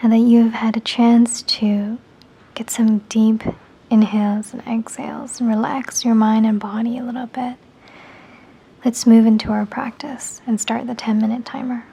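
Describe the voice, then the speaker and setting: Soft, breathy woman guiding meditation. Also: on the high side, little pitch movement. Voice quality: soft, breathy, often near-whispering. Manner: soothing, unhurried, instructional. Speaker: meditation guide. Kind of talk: guided meditation narration.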